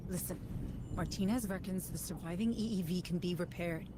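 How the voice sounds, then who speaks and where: A woman's voice: lowish voice, a little fast, close to an everyday voice. Earnest administrator played by a woman, noisy ambience. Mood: urgent, pressing. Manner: earnest, persuasive. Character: earnest, determined female colonial deputy administrator. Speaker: game voice actor. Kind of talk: dramatic game cutscene dialogue.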